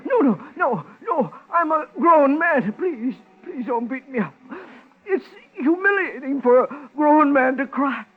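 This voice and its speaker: A man's voice: very high-pitched, lively intonation, unhurried, faint music behind. An actor in a 1950s radio drama playing a timid, desperate little man who stammers and pleads. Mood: tearful terror. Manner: stammering, pleading, repeating himself. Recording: tinny, hissy 1950s radio recording.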